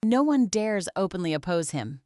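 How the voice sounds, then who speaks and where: A gentle teenage girl of a fantasy story, as voiced by a woman narrator. She is composed and quietly teasing, with very varied intonation in a dry recording. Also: on the low side, normal speed, no room sound. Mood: calm explaining. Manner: soft, calm, composed. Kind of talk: audiobook fantasy character dialogue.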